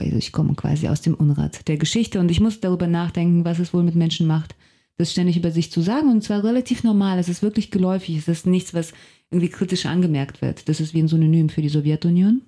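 A woman's voice: on the low side, fairly even intonation, quick pace, warm tone. A woman whose first language is Russian, a novelist and translator, speaking as a guest in a radio studio interview and thinking aloud with care as she searches for words. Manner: thoughtful, reflective, searching for words.

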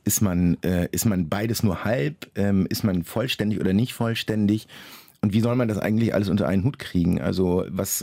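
A man being interviewed about his novel, answering in a measured, reflective way with frequent pauses and restarts. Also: on the low side, vocal fry, warm tone, dry recording. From Germany, Lower Saxony.

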